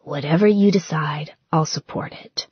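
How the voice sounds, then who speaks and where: A woman voicing a tender, supportive bridegroom in a soft, breathy, intimate manner for a romance audiobook. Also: low-pitched, normal speed, no room sound, dull audio. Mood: tender, low.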